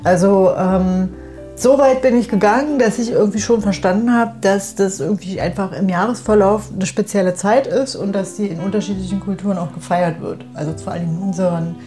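A woman's voice: mid-range voice, brisk, dark tone. Casual woman chatting over music. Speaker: conversation partner in a private audio piece. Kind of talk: casual, spontaneous chat.

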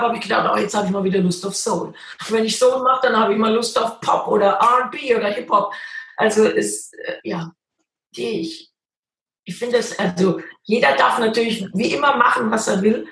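Female guest singer, gravelly and earnest, roomy and slightly noisy recording. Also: on the high side, moderate pace, fairly bright tone. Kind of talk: casual interview chat.

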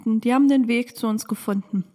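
A woman's voice: a little high, a little fast, dark tone, long reverb tail. A woman co-hosting a podcast in casual, bantering conversation. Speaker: podcast co-host.

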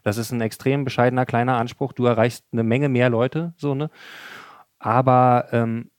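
A man of average pitch speaks as a guest on a casual podcast. He gropes for words, pausing on fillers and ending thoughts with small tag questions. His voice sits close and dry on the mic. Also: fairly even intonation, clean tone, no echo. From Berlin.

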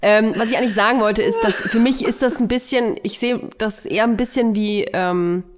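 A woman in her thirties, co-hosting a podcast in casual, self-mocking chat with loud laughs. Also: a little high, fairly quick. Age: 30s. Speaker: podcast co-host.

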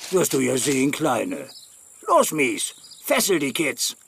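An actor playing a menacing, scornful robber boss in a children's radio play, barking threats and sneers. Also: male voice, mid-range voice, huge pitch swings, takes it slowly, creaky, fairly bright tone, a little hiss or hum, full character voice. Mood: menacing, smug.

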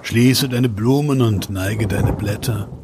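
A man's voice: lowish voice, slow, noisy background. A man voicing a venerable old willow tree in a fairy-tale audio play, solemn and cautioning. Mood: solemn warning. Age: older. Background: rain and thunder.

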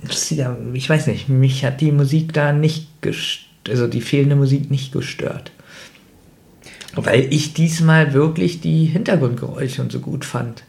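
A man's voice: mid-range voice, fairly even intonation, unhurried, gravelly, a little mellow. A man co-hosting a podcast in casual, conversational chat, chiming in with opinions and corrections on an audio drama. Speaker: podcast co-host.

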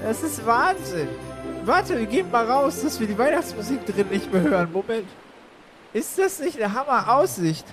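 A man playing a bossy, scornful and excitable friend in an improvised comedy audio play, pushy and brusque. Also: very high voice, moderate pace, over music. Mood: enthusiastic.

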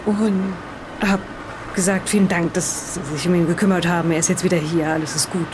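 A woman playing a shaken, distraught wife in an improvised audio drama, telling her story in a halting, confiding way. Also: lowish voice, flat delivery, background noise, close to an everyday voice. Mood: uneasy, confiding.

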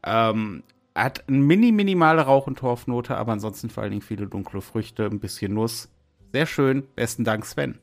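A man's voice: mid-range voice, animated, moderate pace, soft background music. A man chatting casually as co-host of a podcast.

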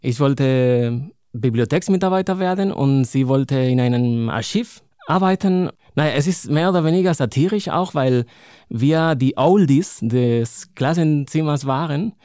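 A man in his thirties from Spain speaks German with a Spanish accent, pausing to search for words and laughing easily. His voice sits in a medium range. The recording is dry and close, with no room sound. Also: mid-range voice, mellow, smooth, dry recording. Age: 30s. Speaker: poet and author guest. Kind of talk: interview, reading own poem.

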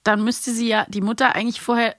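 A woman with a fairly high voice chats casually as a podcast co-host. The sound is close and dry as she reviews stories. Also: little pitch movement, fast, fairly bright tone, dry recording. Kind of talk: casual chat reviewing audio dramas.

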